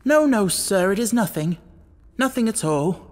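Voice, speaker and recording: Man acting a high-pitched, frightened butler. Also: expressive, a little slow, in a large hall. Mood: desperate. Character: frightened, agitated male butler, evasive and pleading.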